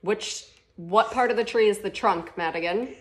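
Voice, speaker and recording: A woman in her thirties reading a picture book aloud to children in an animated, expressive way, like a teacher at story time. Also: medium pitch, poor audio. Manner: expressive, with gasps and drawn-out words for children. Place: living room at home, on a couch. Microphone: phone camera about a metre away.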